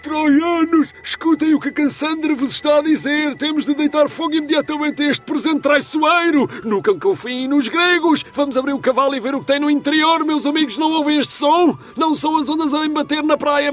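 Urgent old seer, European Portuguese accent, extremely high pitch, oratorical delivery, dubbed cartoon, faint music. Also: male voice, moderate pace, a bit bright. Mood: urgent warning. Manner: declamatory, oratorical. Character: old bearded seer, solemn, urgent and distrustful. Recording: music behind the voice.

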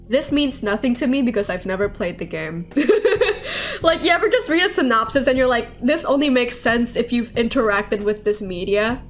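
High-voiced young woman streamer over music. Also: a bit bright. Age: young adult. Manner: chatty, informal, slangy. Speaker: game streamer. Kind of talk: casual livestream chat.

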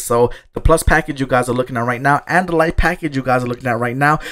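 A man with a slightly raspy voice from a sore throat, giving an upbeat, thankful voice-over for a video game showcase while promoting his loot packages. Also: a little high, fairly bright tone. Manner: upbeat, thankful, salesy and repetitive. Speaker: gaming YouTuber selling loot packages. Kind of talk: casual gameplay showcase commentary. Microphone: voice-over over gameplay.

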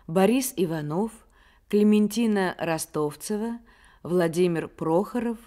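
Slow, formal female radio announcer. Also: mid-range voice, warm tone. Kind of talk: formal scripted credits announcement.

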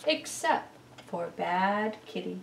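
A middle-aged woman giving an expressive, deliberate picture-book read-aloud as a children's presenter. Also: mid-range voice, gravelly, noisy background. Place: indoor living room beside a Christmas tree. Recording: roomy, distant camera microphone.

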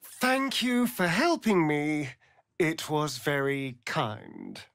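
An actor playing a sardonic, flirtatious and vain vampire rogue in a video game, with an arch, theatrical drawl. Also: male voice, on the high side, sing-song, slow, a bit bright, dry recording, clean studio sound, full character voice. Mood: subdued, sincere. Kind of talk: video game character acting.

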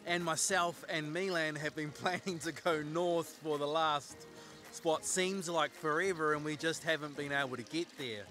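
A man's voice: fairly high pitch, steady tone, moderate pace, pushed and bright, faint music behind. A man in his forties with a New Zealand accent, chatting cheerfully to camera while fishing from a small boat outdoors, laughing often. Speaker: fishing vlogger. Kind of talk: casual vlog chat to camera. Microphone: handheld camera at arm's length.

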